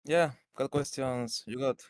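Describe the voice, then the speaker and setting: A man's voice: medium pitch, unhurried, no echo, clean studio sound. A man with a Spanish accent, a native Spanish speaker, answering as a game developer in a laid-back, slangy, frank way. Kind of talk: casual interview chat.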